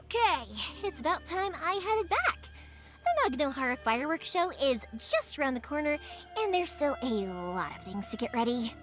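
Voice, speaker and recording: A woman's voice: sits high, normal speed. A woman voicing a bubbly, outgoing young fireworks maker who talks energetically and chattily. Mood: busy. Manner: energetic, chatty, patient with her father. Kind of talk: video game voice acting. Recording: game cutscene with music behind.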